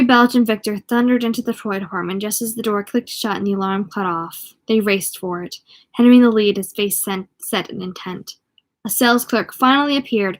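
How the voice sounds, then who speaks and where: A woman reading a novel aloud as an amateur, sometimes tripping over words and going back over phrases. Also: medium pitch. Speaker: amateur audiobook reader.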